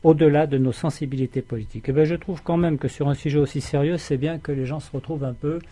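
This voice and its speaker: Husky, mellow-voiced male radio co-host. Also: fairly high pitch, steady tone, fast talker. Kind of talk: political radio talk show commentary.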